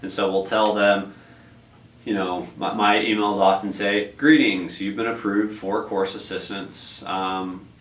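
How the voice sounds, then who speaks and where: A man's voice: fairly low pitch, level tone, a little slow, fairly bright tone. A man coordinating a tutoring program, explaining the process in a rambling way with many fillers and restarts. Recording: echoey room, distant mic, faint noise.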